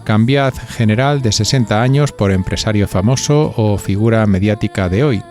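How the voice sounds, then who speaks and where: Low-pitched Spanish man hosting a podcast. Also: moderate pace, darkish tone, faint music behind. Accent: Spanish from Spain. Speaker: podcast host. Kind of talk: scripted narration addressing listeners with questions.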